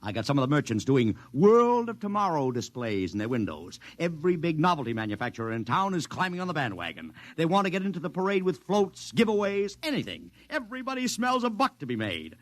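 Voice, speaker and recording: A brash American promoter in a 1950s radio play. His fairly high voice swoops around as he wheels and deals in punchy slang, boastful and emphatic, recorded dry and close. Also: huge pitch swings, a little fast, hardly any pauses, no room sound. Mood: excited, jubilant. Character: brash fast-talking male publicity promoter, slangy, boastful salesman. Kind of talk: 1950s radio drama acting.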